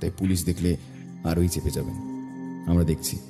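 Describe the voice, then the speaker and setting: A man playing a shrewd, confident detective with a brisk, commanding manner in an audio drama. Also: sits low, fairly even intonation, creaky, music in the background. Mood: assured. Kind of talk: audio story acting.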